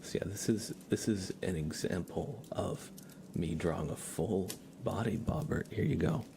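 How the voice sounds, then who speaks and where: A soft-spoken man hosting an ASMR livestream, chatting casually and often dropping into a whisper. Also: sits low, lively intonation, unhurried, creaky, background noise. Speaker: livestream host.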